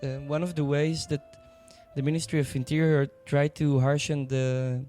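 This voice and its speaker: A young man from Israel doing national service, answering interview questions in a soft, thoughtful, hesitant way. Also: on the high side, level tone, normal speed, soft background music. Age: young adult. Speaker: guest, aid worker.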